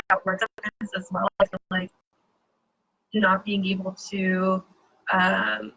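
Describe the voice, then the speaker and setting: A woman's voice: medium pitch, sharp tone, breathy edge, rough recording. A woman on a discussion panel, explaining her thoughts steadily. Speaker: panel member.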